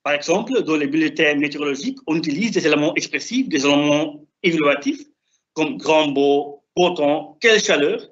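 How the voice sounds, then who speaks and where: A young man who is not a native French speaker, with a non-native accent, giving a halting, didactic academic presentation over a video call. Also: on the high side, a bit bright. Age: young adult. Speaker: doctoral researcher presenting. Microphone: video call, presenting remotely. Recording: dull, band-limited online call audio.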